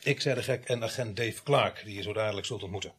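A grumbly middle-aged cop sergeant in a radio drama, husky voice, dry n sarcastic. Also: male voice, fairly low pitch, fast, fairly bright tone. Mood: dry, instructive. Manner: dry, gruff, sarcastic, hesitant asides. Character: grumbling, sardonic veteran village police sergeant.

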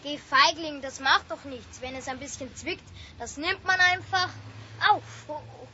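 A bossy young schoolboy with a high, bright voice, curious and quick to tease. He is heard in an old radio play with slightly muffled sound. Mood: bold, startled. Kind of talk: children's radio play acting. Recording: dull, faintly noisy 1970s radio recording.

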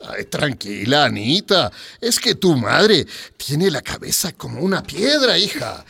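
Grumbling father, gravelly, lively pitch. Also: a little slow, exaggerated voice. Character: grumbling father worried about his wife.

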